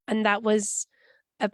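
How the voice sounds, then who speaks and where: Husky-voiced Scottish woman with a cold. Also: medium pitch, no room sound, clean studio sound. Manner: chatty, wry, tells stories with quoted voices. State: husky voice from a cold, sniffling and coughing. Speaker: guest. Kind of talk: casual interview answers. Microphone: video call.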